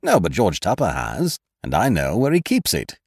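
A man's voice: sits low, huge pitch swings, moderate pace, gravelly, darkish tone, husky, no room sound, studio quality, full character voice. A man voicing a boisterous, pushy young schemer who talks others into his plans with hearty bluster. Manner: blustering, persuasive, calls others laddie and old horses.

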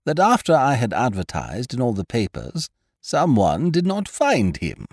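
A haughty society hostess from a comic storybook, played by a male narrator. She is formal, plaintive and fluttering, a fretful hypochondriac with a raised, swooping pitch. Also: wildly swooping pitch, unhurried, a little mellow, dry recording, studio quality, exaggerated voice. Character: haughty upper-class lady hostess, fretful, tremulous hypochondriac. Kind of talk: humorous audiobook character dialogue.

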